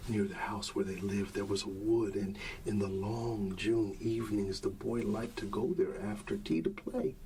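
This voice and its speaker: A man softly reading a bedtime story in an ASMR style, soothing and often dropping into a whisper. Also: fairly low pitch, flat delivery, moderate pace, few pauses, recorded from a distance, slight background noise, low-quality recording. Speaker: ASMR storyteller playing a dad.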